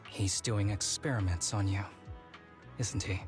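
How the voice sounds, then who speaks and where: An actor playing an easygoing young game agent with a long illness, talking in a casual, wry, measured way. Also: male voice, on the low side, fairly even intonation, lightly acted. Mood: calm, serious. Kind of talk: video game voice acting. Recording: game music behind.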